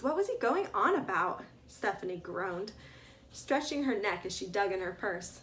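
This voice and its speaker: A woman author reading her adventure story aloud as an expressive narrator. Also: medium pitch.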